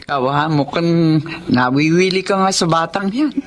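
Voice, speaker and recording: A Filipino actress playing a practical, frank middle-aged wife in a Tagalog radio drama. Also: female voice, on the low side, measured pace, close to an everyday voice. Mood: matter-of-fact.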